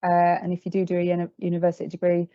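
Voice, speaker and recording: A woman's voice: fairly low pitch, completely flat delivery, very fast, dark tone, clear, resonant tone, dry recording, highs cut off. A young woman in her twenties, a trainee answering questions in a careers talk, hesitant, with many ums and restarts. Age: 20s. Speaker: field service trainee.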